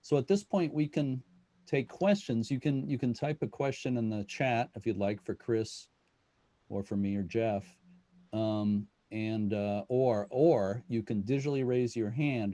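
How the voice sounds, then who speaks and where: A man's voice: on the low side, mellow, no room sound. A man casually moderating a question session on a video call as the host. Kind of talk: casual moderating of an online meeting.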